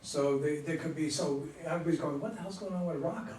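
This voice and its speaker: A man's voice: fairly high pitch, fast talker, a little mellow. Male audience member offering casual notes, picked up from a distance in a very reverberant space. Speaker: audience member giving feedback. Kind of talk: informal critique in a discussion. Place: room at a live play reading. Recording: very echoey room, far from mic, faint noise.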